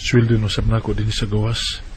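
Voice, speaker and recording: A man's voice: lowish voice, monotone, fairly quick, natural voice. An actor playing a devoted, grateful young adult son who tells his story in a Bisaya radio drama, with a Filipino Bisaya accent. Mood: wistful.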